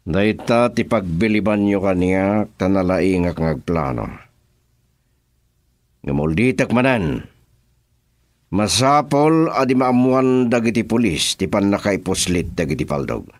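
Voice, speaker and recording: Give the radio drama a calculating male crime boss with a Filipino accent. His voice sits low and moves widely as he lays down orders. The sound is dry and close. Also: lively intonation, unhurried, long pauses, no echo. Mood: commanding.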